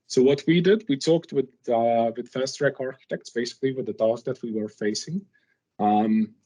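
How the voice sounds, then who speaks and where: A man's voice: mid-range voice, moderate pace, dark tone. A man who is a non-native English speaker gives a conversational technical presentation, informally, posing rhetorical questions as he explains. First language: not English.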